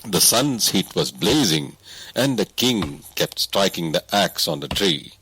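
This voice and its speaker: A man's voice: low voice, big pitch swings, measured pace, sharp tone, husky, rough recording. A man narrating a scripted audiobook story as a reader.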